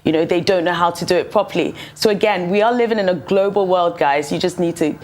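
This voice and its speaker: A young woman from Ghana speaks in a low-pitched voice as a musician guest in a television studio interview. She answers at length and articulately, with frequent "you know"s woven in. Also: fast, a little mellow.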